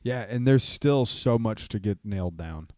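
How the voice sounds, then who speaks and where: A man's voice: on the low side, animated, normal speed, a little mellow, dry recording, clean studio sound. A man co-hosting a podcast with brash, teasing casual banter and free swearing. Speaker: podcast co-host. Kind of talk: casual banter, profane joking.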